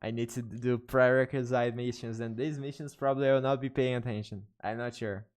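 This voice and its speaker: A man, a native Portuguese speaker with an accent, chatting casually as a game streamer in halting, repetitive phrases. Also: medium pitch, flat delivery, a little mellow. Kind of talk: casual live stream chat.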